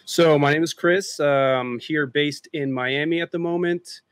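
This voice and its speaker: A man chatting casually in an interview over a video call, explaining at length in a relaxed way. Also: fairly high pitch, unhurried, no room sound. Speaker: drone filmmaker guest.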